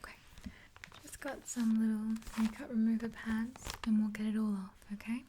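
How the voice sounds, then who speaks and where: A woman's voice: a little high, flat delivery, moderate pace, warm tone, a little hiss or hum, low-quality recording. A woman in her twenties whispering soothingly and hesitantly, close to the mic, in an ASMR roleplay as if chatting with a friend. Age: 20s. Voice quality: breathy whisper. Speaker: ASMR video creator playing a makeup artist friend. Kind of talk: whispered ASMR roleplay, one-sided chat with the listener. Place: small indoor room, dark backdrop. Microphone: close to the mic, facing camera.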